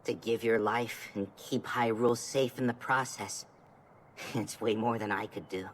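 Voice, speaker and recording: A man's voice: lowish voice, steady tone, unhurried, slight background noise, natural voice. A man acting a loyal young adventurer in a video game cutscene, speaking earnestly and plainly. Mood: resolved, tender.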